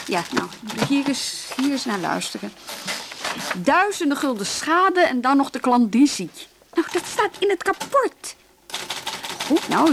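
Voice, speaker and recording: Brisk, high-voiced female police inspector. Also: background noise. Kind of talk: radio drama acting.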